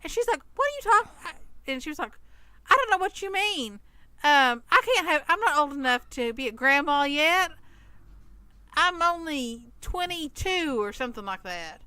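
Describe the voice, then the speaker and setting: A woman's voice: high voice, very animated, moderate pace, bright, forceful tone, dry recording. A woman in her thirties co-hosting a podcast, telling a story in casual chat and acting out the people she quotes. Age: 30s. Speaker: podcast co-host.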